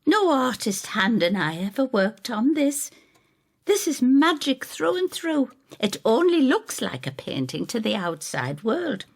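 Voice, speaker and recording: A woman doing a tart, rueful older woman, high-pitched. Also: sing-song, measured pace, full character voice. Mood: haunted, confessing. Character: Effie, bitter, self-critical older Whitby woman, a witch. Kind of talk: audio drama storytelling dialogue.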